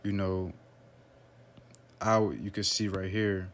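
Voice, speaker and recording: Low, flat-voiced man walking through a chart. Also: takes it slowly, a little hiss or hum. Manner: relaxed, loose, lots of fillers like "um" and "right?". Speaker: forex trader analyzing a chart. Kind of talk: casual screen-share trading walkthrough.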